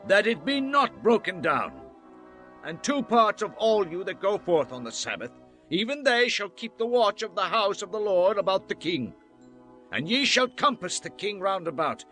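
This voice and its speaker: A man playing a commanding high priest in a dramatized scripture audiobook, declaiming orders with emphatic authority. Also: sharp tone, heavily acted.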